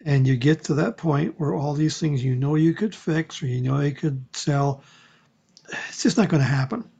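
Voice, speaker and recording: A woman with a husky voice in casual conversation, telling things in a measured, rambling way. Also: low-pitched, dark tone, dull audio. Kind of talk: casual chat.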